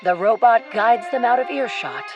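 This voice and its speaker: A woman's voice: on the high side, expressive, normal speed, dull audio, close to an everyday voice. A woman narrator reading scripted adventure storytelling in a theatrical, literary manner. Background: music.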